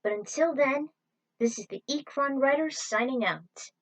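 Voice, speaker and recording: A woman with a fairly high voice hosts her own fan fiction channel. She speaks to her followers earnestly and effusively, as a writer sharing notes and thanks. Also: unhurried, low-quality recording. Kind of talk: reading author's notes and sign-off to fans.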